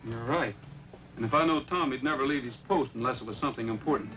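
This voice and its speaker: An actor playing an earnest, loyal cowboy in an old western radio drama, plain-spoken and practical. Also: male voice, medium pitch, quick pace, close to an everyday voice. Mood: concerned, practical. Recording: muffled old radio recording, light noise.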